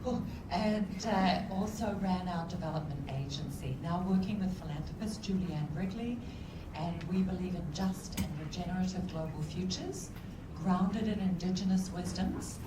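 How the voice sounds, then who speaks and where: A woman's voice: fairly low pitch, normal speed, noisy background. Emphatic New woman on a panel. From New Zealand. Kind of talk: panel discussion. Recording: echoey room from far off with loud music.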